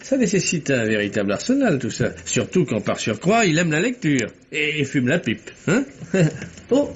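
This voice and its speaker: An actor playing a curious, genial amateur detective who muses aloud in a mid-century French radio mystery. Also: male voice, on the high side, sing-song, moderate pace, husky. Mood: musing, amused. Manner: musing, chatty, punctuated with hums and repeated words. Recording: dull, noisy old radio recording.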